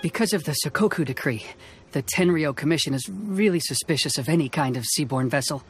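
Hesitant, animated, cautious boatman. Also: on the high side, a little fast. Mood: weary. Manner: hesitant, stammering. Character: nervous, cautious, courteous adult male boat owner. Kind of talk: video game character acting. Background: faint game music.

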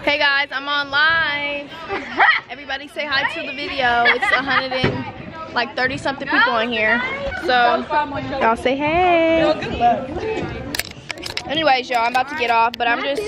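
A woman's voice: high voice, unhurried, long pauses, over a noisy crowd, very noisy. A young woman vlogging to a handheld camera at arm's length, chatting playfully outdoors by a pool with friends. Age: young adult. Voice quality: lively, laughing, playful teasing. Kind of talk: casual vlog chatter to camera.

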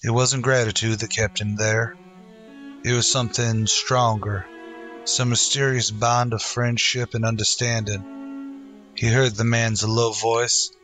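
A man narrates in dramatic old-time radio style. His voice is bright and medium-pitched, with faint music behind it. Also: flat delivery, a little slow.

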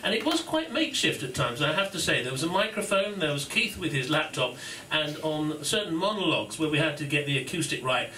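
A man's voice: on the high side, big pitch swings, a little fast, bright, forceful tone, slight background noise. A man hosting a launch event in a pub, giving an informal, self-deprecating speech full of fillers and laughs. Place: pub function room. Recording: echoey room from far off.

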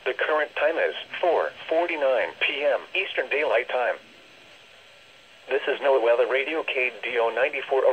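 A man's voice: medium pitch, a bit bright. A synthetic, stilted man's voice reading a formal scripted weather forecast through a radio speaker. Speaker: automated weather radio announcer. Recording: noisy weather radio reception, re-recorded.

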